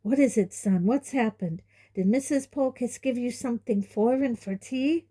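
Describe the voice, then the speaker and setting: A woman voicing a gruff, blustering middle-aged man who is menacing toward the boy. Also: fairly high pitch, moderate pace, dark tone, voice pushed up.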